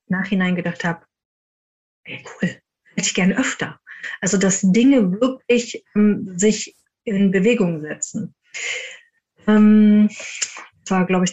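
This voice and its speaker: A woman's voice: lowish voice, a little mellow, highs cut off. A woman speaking as a guest paranormal investigator, casually telling stories and acting out quoted dialogue. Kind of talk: casual anecdotal storytelling.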